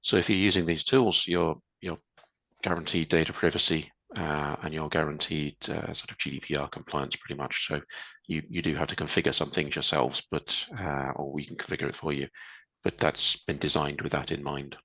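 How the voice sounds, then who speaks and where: A man with a very low voice explains things patiently, often restarting his sentences. The sound is dull and lo-fi, as on a video call, and the room is dry. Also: level tone, pushed and bright, dry recording. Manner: measured, explanatory, with frequent restarts. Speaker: guest presenter. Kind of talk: webinar Q&A answers.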